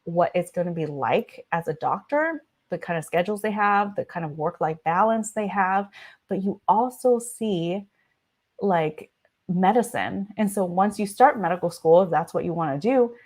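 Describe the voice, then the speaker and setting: A woman's voice: fairly low pitch, moderate pace, a little mellow. A young woman physician from the United States whose first language is Vietnamese, giving chatty, casual advice with lots of filler words. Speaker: physician, channel host. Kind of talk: casual advice talk to camera.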